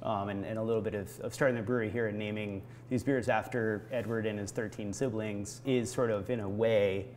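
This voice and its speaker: An American man in his thirties from Vermont giving reflective interview answers, haltingly, searching for words. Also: lowish voice, fairly even intonation, darkish tone. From Vermont, United States. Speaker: brewery founder being interviewed. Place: indoor barrel room of a brewery. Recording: noticeable background room noise.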